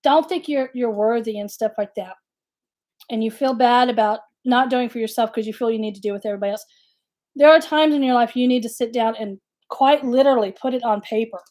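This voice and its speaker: Chatty lady late 40s, high voice, co-hosting a casual livestream, candid n jokey. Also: brisk, a little mellow. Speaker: co-host of a live chat. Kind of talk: casual live-stream chat.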